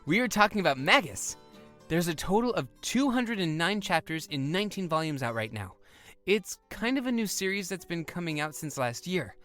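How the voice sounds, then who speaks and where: A woman's voice: on the low side, expressive, moderate pace, fairly bright tone, faint music behind. A woman acting the part of an eager, defiant fifteen-year-old boy in an audio drama.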